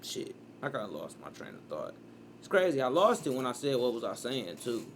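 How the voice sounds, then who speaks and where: A man in his early thirties streams his reaction in loose, rambling commentary. His voice sits at an ordinary pitch and now and then jumps into loud, excited outbursts. A faint hiss runs under the sound. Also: fairly quick. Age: 30s.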